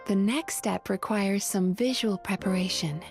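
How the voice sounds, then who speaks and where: A woman voicing a gentle, playful young female therapist in a video game, with a soothing, teasing, polite manner. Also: mid-range voice, measured pace, faint music behind, lightly acted. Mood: calm, soothing. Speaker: game character.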